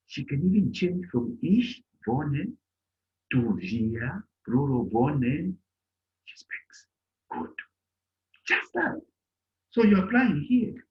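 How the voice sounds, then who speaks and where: A middle-aged man teaching a German lesson to an online class, acting out little dialogues in a raised voice. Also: a little high. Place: indoor room on a video call. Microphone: laptop mic on a video call. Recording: lo-fi video call audio.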